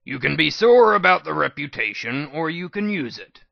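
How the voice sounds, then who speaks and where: A man's voice: sing-song, normal speed, gravelly, no room sound, dull audio, exaggerated voice. A man voicing a casual, sardonic and suspicious male pony companion in a dramatic audiobook reading. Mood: dry needling. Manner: dry, casual, low-key.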